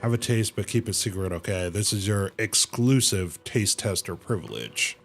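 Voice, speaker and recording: A man's voice: on the low side, moderate pace, vocal fry. A man livestreaming a game, casually reading dialogue aloud and reacting in slang, sometimes stumbling and correcting himself. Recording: game music behind the voice. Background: video game music.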